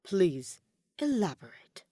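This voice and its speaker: Woman putting on a grave, sardonic young man, low. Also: slow, dry recording, studio quality, heavily acted. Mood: flat sarcasm. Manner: flat, deadpan, sarcastic. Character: grave, sardonic, dark young male student. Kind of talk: audiobook character acting.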